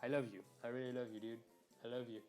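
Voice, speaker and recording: A man's voice: medium pitch, little pitch movement, quick pace, a little mellow, faint music behind. A young man chatting casually to viewers while live-streaming a game, rambling and swearing freely, recorded through an earphone mic at home. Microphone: earphones mic, quiet and low in level.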